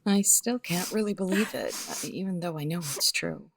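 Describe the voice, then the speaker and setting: A woman playing a weary, guarded, bittersweet widow in the style of a 1950s radio detective drama, speaking in a measured, hesitant way. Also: fairly low pitch, expressive, vocal fry. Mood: wistful, bruised.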